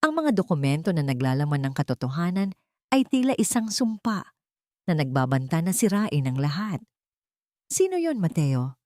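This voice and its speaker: Lady reading a drama audio story, voice a bit low, dry recording. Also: big pitch swings, normal speed, darkish tone, no room sound. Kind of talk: scripted dramatic narration.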